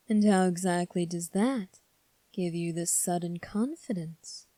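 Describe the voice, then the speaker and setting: A woman voicing a composed, coolly defiant young fantasy prince in an ASMR roleplay, speaking formally and deliberately with long pauses. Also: on the low side, mellow, no room sound. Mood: skeptical, challenging.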